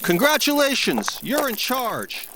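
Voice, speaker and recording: A dismissive ship's chief, played by a woman in a comic space drama. The orders come curtly, with animated intonation over slight background noise. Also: fairly high pitch, a little slow. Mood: irritated, impatient. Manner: curt, commanding. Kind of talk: comedy sci-fi audio drama.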